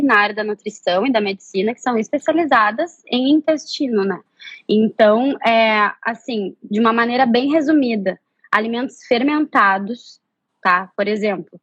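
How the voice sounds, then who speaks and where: The young Brazilian nutrition guest on a live stream, explaining casually over a dry, slightly dull video-call connection. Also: female voice, a little high, fairly bright tone, husky, no echo. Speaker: nutrition student and practitioner, interview guest.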